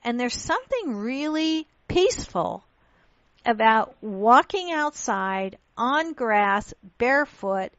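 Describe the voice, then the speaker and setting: A woman's voice: fairly high pitch, big pitch swings, slow pace, a bit bright, dry recording. Woman radio host from pakistan, earnest chatty, keeps restarting, dry muffled mic. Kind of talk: casual interview chat.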